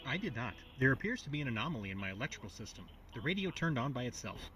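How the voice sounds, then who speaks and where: A man's voice: medium pitch, very animated, rapid-fire, a bit bright, distant mic, poor audio. A man playing a talking truck computer in a game, formal, clipped and matter-of-fact.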